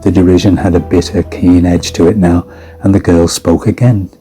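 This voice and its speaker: A man narrating a horror story in a measured, grave, dramatic audiobook style. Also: low voice, flat delivery, mellow, faint music behind.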